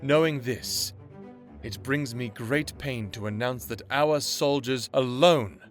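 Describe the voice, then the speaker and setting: A man's voice: medium pitch, natural voice. A man playing a pompous, self-righteous general giving a formal, declamatory televised address in an audio drama.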